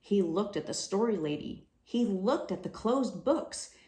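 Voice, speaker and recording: A woman reading a children's storybook aloud with expressive, dramatic delivery. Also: medium pitch, a little slow. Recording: roomy, echoing room sound.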